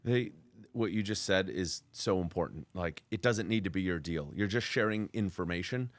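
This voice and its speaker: A male podcast host with a fairly low voice talks loudly and at length, trading stories in a relaxed interview. The sound is dry and close, with no room echo. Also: moderate pace. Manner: loud, talkative. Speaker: podcast host, commercial real estate broker. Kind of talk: casual interview conversation.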